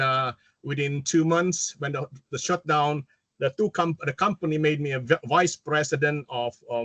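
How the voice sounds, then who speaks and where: Lively Malaysian-British accented man presenting. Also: a little high, no room sound. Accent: Malaysian-British mix. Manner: animated, enthusiastic, self-interrupting. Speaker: guest speaker. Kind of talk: webinar presentation. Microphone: video call.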